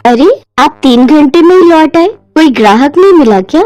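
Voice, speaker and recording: A woman voicing a cheerful, caring village wife who talks excitedly in a cartoon story. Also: high voice, normal speed, no room sound, faint music behind. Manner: excited, warm, homely.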